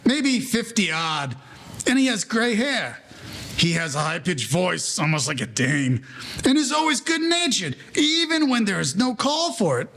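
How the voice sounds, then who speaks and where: A man's voice: high voice, big pitch swings, a little slow, gravelly, a bit bright, exaggerated voice. A man playing the wry storyteller of a 1940s-style gangster audio play, narrating in mannered, formal slang. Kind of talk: scripted period story narration.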